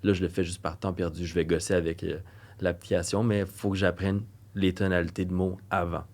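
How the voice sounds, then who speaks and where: Young québécois guy, low voice, rambling on earnest about stuff on a podcast. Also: flat delivery, brisk, darkish tone. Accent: Québécois French. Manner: rambling, earnest, trails off mid-thought. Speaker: podcast co-host, coach. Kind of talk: casual chat.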